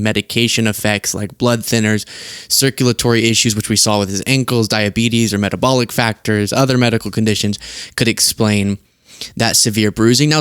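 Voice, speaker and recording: Young male host, casual and animated, tired but giggly, dry close studio sound. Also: smooth, dry recording. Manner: animated, giggly, quick asides and sudden high outbursts. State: tired from lack of sleep. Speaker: political commentary host. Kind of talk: casual political commentary to camera. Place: home studio at a desk. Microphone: earpiece and studio mic.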